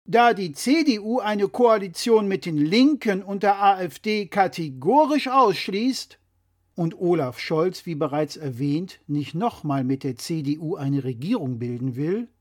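Man with a higher-pitched voice, scripted explainer. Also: expressive, measured pace. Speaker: presenter.

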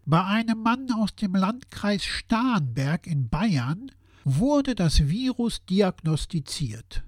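Performer as skeptical fact-citing partner, sober, measured pace, animated intonation, scripted satirical audio play. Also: mid-range voice, no echo, clean studio sound. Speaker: audio play dialogue speaker. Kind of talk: scripted satirical dialogue on current affairs.

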